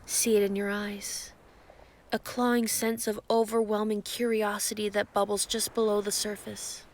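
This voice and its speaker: Woman voicing a calm, teasing giant sea empress. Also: mid-range voice, a little hiss or hum, lightly acted. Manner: calm, teasing, grandiose, formal wording. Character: giant ancient female sea empress, playfully possessive and flirtatious. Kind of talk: roleplay audio, intimate monologue to listener.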